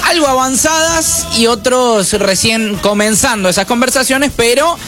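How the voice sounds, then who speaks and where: A man's voice: extremely high pitch, measured pace, music in the background. A man giving a football update as a radio reporter.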